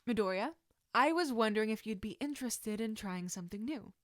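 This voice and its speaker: A woman voicing an encouraging adult female martial arts teacher in measured, instructive story dialogue. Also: fairly high pitch, lively intonation, no echo, studio quality, natural voice. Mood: amused, encouraging. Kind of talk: audiobook-style character dialogue.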